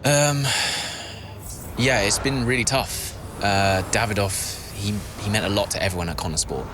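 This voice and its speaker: Actor as low-voiced, earnest racing driver, noisy background. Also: male voice, natural voice. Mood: subdued. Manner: hesitant, measured, earnest. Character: loyal, steady male racing driver, supportive teammate. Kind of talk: naturalistic video game cutscene acting. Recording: clear background ambience noise.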